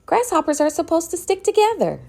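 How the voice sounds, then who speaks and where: A woman's voice: extremely high pitch, huge pitch swings, a little fast, creaky, darkish tone, faint music behind, pitched above the performer's own voice, full character voice. A woman voicing a bossy, easily alarmed second-grade girl in a storybook, insistent and exclaiming. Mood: calling, worried. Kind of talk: storybook character voice.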